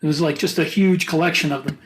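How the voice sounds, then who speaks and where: A man's voice: fairly high pitch, fairly even intonation, fast. A man co-hosting a podcast, talking casually with others about science fiction. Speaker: podcast co-host.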